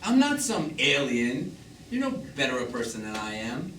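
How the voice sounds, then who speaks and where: A middle-aged man, pleads and defends himself in stage-drama style. His mid-pitched voice moves widely, with grief underneath. The recording is roomy, with clear noise around it. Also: huge pitch swings, moderate pace, fairly bright tone. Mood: defensive. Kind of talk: live one-act stage drama acting. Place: small theatre stage, recorded from afar. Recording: distant noisy roomy theatre stage recording.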